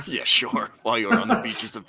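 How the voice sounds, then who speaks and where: A man's voice: moderate pace, a loud crowd. A man hosting a casual sports podcast, joking and teasing his co-hosts as he steers the talk. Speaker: podcast host.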